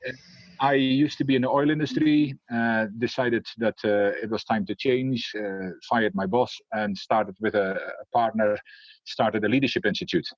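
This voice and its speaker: A man's voice: lowish voice, steady tone, moderate pace, no echo, highs cut off. A middle-aged man with a Dutch accent tinged by Scotland, a leadership coach talking in an interview, hesitant with many fillers and restarts. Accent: Dutch, with Scottish influence. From Netherlands. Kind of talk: casual interview talk.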